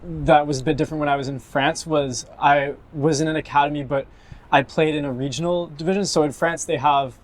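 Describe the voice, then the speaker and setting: A man's voice: on the high side, steady tone, brisk. A young man from Toronto with a Canadian accent, talking casually as an interview guest about himself. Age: young adult. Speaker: guest, university soccer player. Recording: faint background ambient noise.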